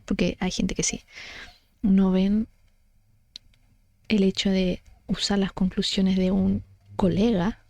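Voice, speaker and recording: A woman's voice: mid-range voice, gravelly, mellow, dry recording. A woman chatting casually as she explains things, thinking aloud and correcting herself in an informal way. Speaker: book study vlogger.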